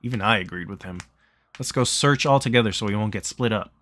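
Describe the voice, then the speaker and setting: A man's voice: fairly low pitch, fast, fairly bright tone, no echo. A man reading a game's story aloud in a plain, conversational way, mixed with casual chat. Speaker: streamer reading a visual novel aloud.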